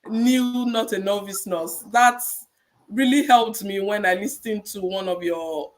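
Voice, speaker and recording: A woman with a Nigerian accent talks in a middle register, a nurse guest on a podcast. She gives advice in a measured, encouraging way and comes back to her main points so they stick. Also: mid-range voice, poor audio.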